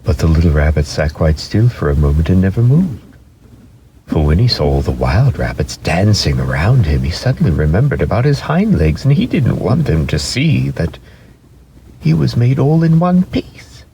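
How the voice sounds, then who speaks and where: A man narrating a children's story as a dramatic reading, expressive and measured, with deliberate pauses. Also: low voice, a little hiss or hum, rough recording.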